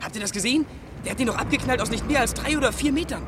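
An actor playing an excited, callous passer-by in a radio play, brash and slangy. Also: male voice, extremely high pitch, rapid-fire, noisy background. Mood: excited, scornful. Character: excited, callous male passer-by from a street crowd. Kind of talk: German radio play acting.

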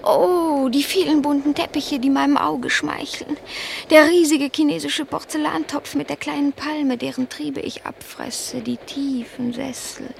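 A woman's voice: sits high, fairly even intonation, measured pace, creaky, a little hiss or hum, exaggerated voice. A woman voicing a haughty, grumbling, melancholy pet cat in a theatrical, self-dramatizing radio play manner. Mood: wistful, melancholy.

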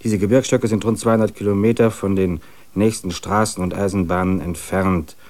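An actor playing an earnest German man of forty who longs for wilderness, reading out his letters in a measured manner. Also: deep voice, fairly even intonation, darkish tone, lightly acted. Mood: matter-of-fact planning. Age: 40s. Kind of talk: radio play, letter reading.

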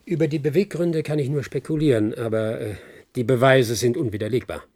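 An actor playing a taciturn, world-weary private detective in a German radio play, dry, terse and deliberate. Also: male voice, mid-range voice, darkish tone, natural voice. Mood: measured, firm.

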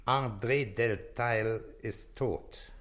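An actor playing a persistent police detective in a German radio drama, probing in a terse, matter-of-fact way. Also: male voice, mid-range voice, very slow, a bit bright. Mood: grave, factual. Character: persistent, composed male police detective questioning a suspect.

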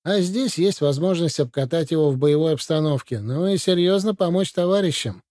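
A man reading a brisk Soviet military officer. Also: a little high, animated, a little mellow, no room sound, studio quality, lightly acted. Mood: briefing. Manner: matter-of-fact briefing delivery. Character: male Soviet military adviser, brisk and commanding. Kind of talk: audiobook reading.

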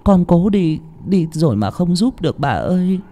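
A man's voice: high voice, sing-song, moderate pace, dark tone, voice pushed up. A man voicing a timid young servant girl who speaks tearfully.

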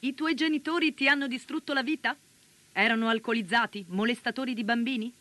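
A woman playing a coolly provocative pitchwoman, delivering a scripted mock advertisement in a radio play. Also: fast. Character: coolly provocative female pitchwoman for unsettling science. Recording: loud ambient noise behind voice.

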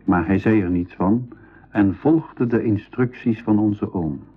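An actor playing a thoughtful nephew who narrates his world journey in a measured way, with a Belgian Dutch accent, in a school radio play. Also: on the low side, slightly rough. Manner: measured, storytelling. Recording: phone-like, narrow 1980s radio recording.

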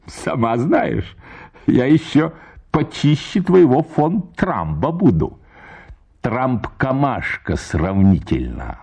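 Актёр играет ехидного вальяжного мужа, интонации скачут. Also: male voice, medium pitch, big pitch swings, takes it slowly, creaky, a little mellow, breathy edge, pitched above the performer's own voice, full character voice. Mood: amused, mocking. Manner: drawling, teasing, chuckling condescension. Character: smug, lazily mocking husband, cynical swindler. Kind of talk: dramatized literary short story reading.

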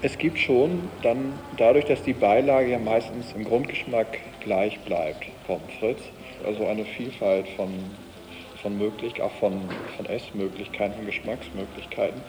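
Man, thoughtful and halting everyday speaker, noisy cassette recording with a long reverberant tail. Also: fairly low pitch. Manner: halting, searching for words. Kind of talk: reflective casual opinion in an audio collage.

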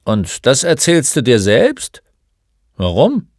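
A gruff old storybook shopkeeper, performed by a man, who fires off blunt, mocking questions. The voice is forceful and swings upward from a grumble, recorded very dry. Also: medium pitch, huge pitch swings, takes it slowly, dry recording, voice pushed up, heavily acted. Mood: probing. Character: gruff, mocking old male bookshop keeper. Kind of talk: storybook character voice.

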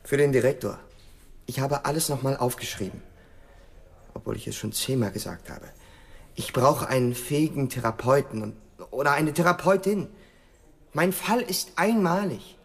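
An actor playing an arrogant, demanding imprisoned killer in a radio drama, with a gravelly, husky voice and a commanding, condescending manner. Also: male voice, mid-range voice, expressive, normal speed. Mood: insistent, haughty. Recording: long hall-like reverb.

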